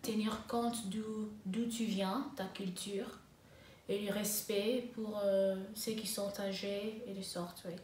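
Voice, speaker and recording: A woman in her twenties, from Congo and raised in South Africa, answering interview questions slowly with many pauses and restarts. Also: mid-range voice, level tone, a little mellow, distant mic, slight background noise. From Congo (DRC), raised in South Africa. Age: 20s. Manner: hesitant, many fillers and restarts. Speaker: interviewee, master's student. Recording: echoey room with faint ambience.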